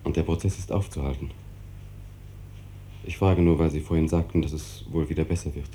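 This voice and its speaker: An actor playing an anguished, insistent husband in a 1950s German radio drama, earnest and pressing, on an old noisy recording. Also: male voice, a bass voice, fairly even intonation, a little fast, dark tone.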